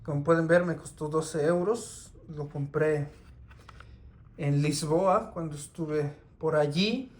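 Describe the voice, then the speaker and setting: A man, talking casually and unhurriedly through his CD collection, with many pauses and restarts. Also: a little high. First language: Spanish. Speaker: record collector.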